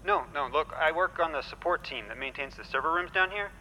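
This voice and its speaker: A man's voice: fairly high pitch, lively intonation, brisk, pushed and bright, full character voice, poor audio. A man in an audio drama playing a nervous, hesitant, nerdy tech support worker. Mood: anxious, insistent. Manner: hesitant, stammering. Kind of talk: naturalistic audio drama acting. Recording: faint ambient noise.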